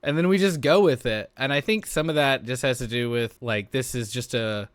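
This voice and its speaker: A man's voice: mid-range voice, normal speed, smooth, no room sound. A man chatting casually as a podcast cast member, blunt and joking, with freely dropped swearing. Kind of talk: casual group chat.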